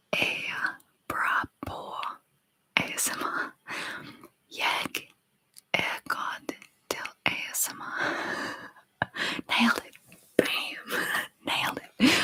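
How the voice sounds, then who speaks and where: Bright whispering young woman. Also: rough recording. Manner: whispering, giggly, self-doubting, trying out foreign words. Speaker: ASMR creator reading chat. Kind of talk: whispered ASMR livestream chat. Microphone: close ASMR microphone.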